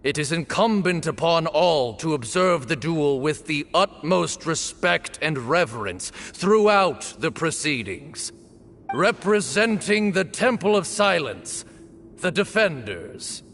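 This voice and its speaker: A solemn temple official in a game, announcing a sacred duel slowly and formally. His gravelly voice rises and falls widely and rings out with a long hall reverb. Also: on the high side, sing-song, fairly bright tone, faint music behind, full character voice. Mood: ceremonial. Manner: formal, slow, ceremonial proclaiming. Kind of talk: game voice acting.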